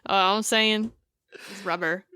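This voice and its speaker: A woman co-hosting a casual podcast chat, animated and opinionated, often laughing. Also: mid-range voice, a little slow, clean tone, no echo, clean studio sound. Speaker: podcast co-host.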